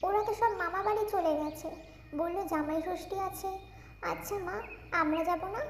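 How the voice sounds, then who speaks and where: A woman's voice: extremely high pitch, moderate pace. A woman playing a sweet, hopeful little village girl in a cartoon, with a childlike manner. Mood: curious. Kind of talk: Bengali cartoon fairy-tale acting. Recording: roomy echo, clear ambient bird noise.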